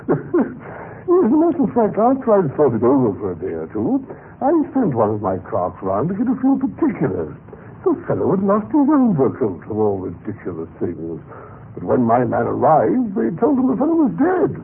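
An actor playing a pompous, self-important high-ranking Russian official in an old radio drama, haughty and theatrical, with a raspy voice. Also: male voice, exaggerated voice. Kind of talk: 1950s radio drama acting. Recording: muffled, tinny old radio recording.

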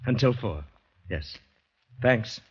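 A man's voice: medium pitch, lively intonation, takes it slowly. An actor playing an anxious ex-soldier in a 1940s radio drama, brooding and hesitant as he talks himself through panic. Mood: wry, polite. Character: anxious ex-soldier fighting down panic, recounting his ordeal. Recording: dull old radio recording with music.

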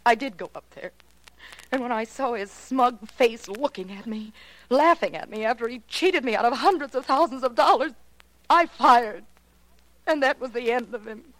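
An actress playing a bitter, defiant young murderess in a melodramatic 1940s radio drama. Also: female voice, on the high side, moderate pace, natural voice, rough recording.

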